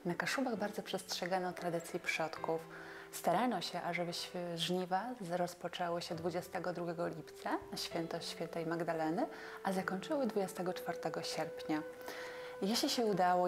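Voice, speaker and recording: Low-voiced, creaky middle-aged woman explaining. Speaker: museum expert. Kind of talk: explanatory talk to camera on folk customs. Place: small quiet furnished room, indoors. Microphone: lapel mic.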